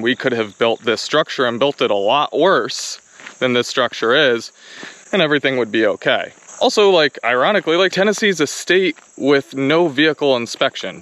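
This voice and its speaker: A young man, a vlog co-host, explaining outdoors in a wooded clearing in a casual, exasperated way, full of "like"s. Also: medium pitch, a bit bright, no room sound. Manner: exasperated, fast explaining with many "like"s. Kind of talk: casual chat to camera. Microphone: camera-mounted mic, later handheld selfie camera. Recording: outdoor camera audio from a little distance.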